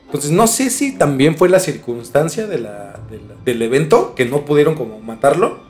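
A man's voice: a little high, very animated, normal speed, darkish tone, faint music behind. A young Mexican man telling a story as a podcast host in a casual, slangy conversational way. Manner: informal, slangy.

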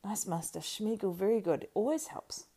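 A woman voicing a wretched, cringing male creature who wails and pleads in a shrill, squeaky whisper. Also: expressive, moderate pace, mellow. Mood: whining. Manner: wailing, pleading, singsong.

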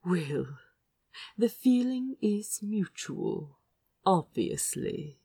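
A woman's voice: medium pitch, very animated, very slow, creaky, mellow, slightly rough, no room sound, clean studio sound, heavily acted. A woman voicing a genteel, fussy, affectionate prince with prim, precise diction, as in a storybook. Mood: fond.

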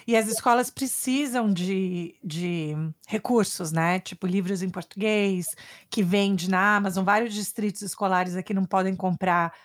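A woman's voice: medium pitch, fairly even intonation, normal speed, no room sound, clean studio sound. A woman from Brazil hosting a podcast, talking in a casual, enthusiastic way with her guest and her listeners. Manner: warm, chatty, enthusiastic. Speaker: podcast host. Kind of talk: casual podcast interview and outro. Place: Boston area, United States.

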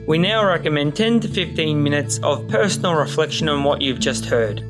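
Higher-pitched male narrator over guitar music. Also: lively intonation, moderate pace. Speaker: podcast narrator. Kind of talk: scripted narration. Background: plucked guitar music.

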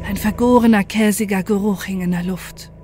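A woman's voice: medium pitch, steady tone, moderate pace, a little mellow, a little hiss or hum, lightly acted. A woman playing a defiant, desperate scientist who narrates a horror story in an intense, dramatic way. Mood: grave narration.

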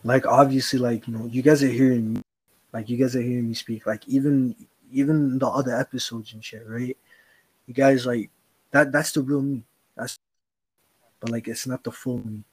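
A man's voice: medium pitch, steady tone, moderate pace, slightly rough, low-quality recording. A young man co-hosting a podcast, talking earnestly in casual chat, rambling with slang and swearing. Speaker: podcast co-host.

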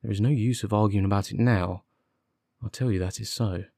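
A man voicing a confident, impatient gentleman detective, articulate and sardonic, in an audiobook. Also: lowish voice, fairly even intonation, fairly quick, vocal fry, no echo, studio quality. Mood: scornful. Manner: articulate, commanding, witty. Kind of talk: audiobook character dialogue.

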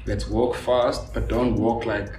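A man from South Africa whose first language is Afrikaans, talking casually and telling stories quickly with lots of fillers. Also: mid-range voice, far from the mic, over music. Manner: animated storyteller, talks quickly with many fillers. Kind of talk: casual conversation. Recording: roomy with background noise.

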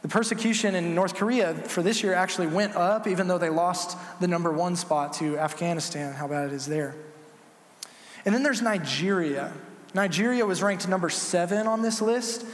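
A man's voice: high voice, moderate pace. A man preaching a sermon as pastor, earnest and conversational with some fillers. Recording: echoey hall with long reverb.

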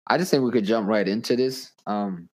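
Podcast host, male, from the US. Low register, casual interviewing, slangy hip hop phrasing. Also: a little fast. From United States.

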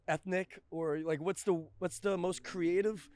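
A man's voice: sits high, steady tone, unhurried, a little mellow, dry recording. A man in his twenties hosting a podcast, asking loose, teasing questions and laughing along. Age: 20s. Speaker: podcast host. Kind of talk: casual podcast chat.

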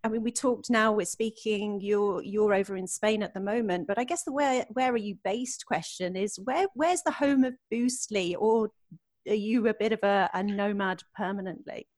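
A woman's voice: medium pitch, moderate pace, darkish tone. A woman hosting a podcast interview, friendly and encouraging as she reacts and asks questions. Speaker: podcast host. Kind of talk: casual interview conversation.

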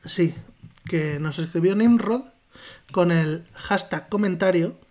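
A woman's voice: lowish voice, slow pace, dark tone. A woman with a Spanish accent from Spain, co-hosting a podcast in casual, teasing, joking chat and reading out listener comments, swearing freely. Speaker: podcast co-host.

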